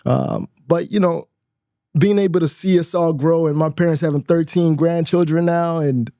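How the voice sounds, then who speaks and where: A man's voice: on the high side, steady tone, moderate pace, dark tone, no echo. A man in his thirties from Nigeria, a guest in a studio interview, speaking in a reflective way, laughing often and repeating words as he thinks. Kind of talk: casual interview chat.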